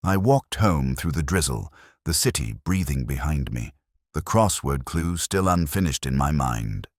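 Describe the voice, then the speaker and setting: A man narrating a first-person detective story in a measured, brooding, literary manner. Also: a bass voice, expressive, no echo, clean studio sound.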